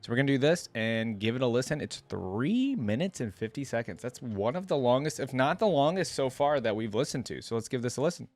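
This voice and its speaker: A man's voice: medium pitch, animated, brisk, few pauses, a little mellow. A young adult man, giving chatty, enthusiastic reaction commentary as a video host.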